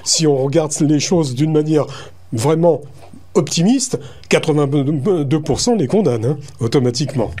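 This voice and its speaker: A man hosting a radio interview, putting measured, partly read questions to his guest. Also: a little high, dark tone. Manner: measured, reading prepared lines. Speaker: radio host.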